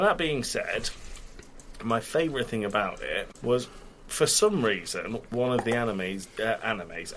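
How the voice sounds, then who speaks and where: A man's voice: medium pitch, fairly bright tone, a little hiss or hum. A British man chatting casually as a podcast host, giving blunt, critical opinions. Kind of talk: casual chat, opinionated review.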